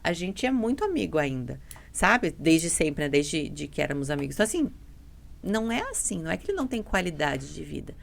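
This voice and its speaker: A woman's voice: low-pitched, very animated, a little fast, vocal fry, slight background noise. A woman chatting on a podcast as a guest, telling stories bluntly and emphatically, often acting out dialogue and repeating herself. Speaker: podcast guest, dental mentoring business partner. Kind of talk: casual podcast conversation, animated storytelling.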